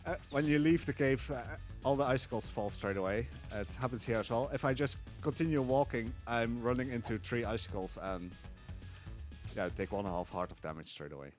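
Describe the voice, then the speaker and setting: A man calmly explaining tricks while playing a game live, with frequent fillers. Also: mid-range voice, fairly quick, soft background music. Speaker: speedrunner. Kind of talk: live speedrun commentary.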